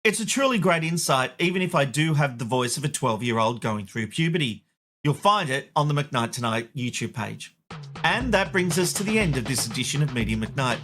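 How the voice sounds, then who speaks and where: A man's voice: on the high side, a bit bright. A man with an Australian accent hosting a media commentary show, wry and opinionated. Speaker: host.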